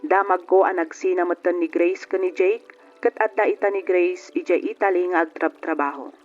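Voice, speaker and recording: A man's voice: high voice, steady tone, moderate pace, natural voice. A man acting a reflective Ilocano narrator, music behind. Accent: Filipino, Ilocano. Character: reflective married man narrating his past love. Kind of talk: first-person radio drama narration. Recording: muffled radio recording with music behind.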